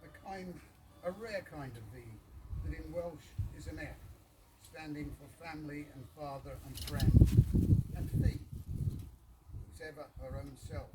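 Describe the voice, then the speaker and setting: A man recites verse to friends, pausing between short phrases and slipping in casual asides. His voice sits a little high. It is captured away from the microphone, with clear noise around it. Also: fairly even intonation. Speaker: reader of a poem at a birthday gathering. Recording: distant mic with clear background noise.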